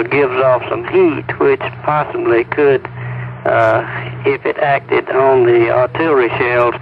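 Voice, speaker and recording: A male civil defense official explaining carefully and haltingly, with many pauses. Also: medium pitch, vocal fry. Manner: hesitant, pausing, careful. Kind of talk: halting spoken explanation to press. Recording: noisy phone-like 1970s radio clip.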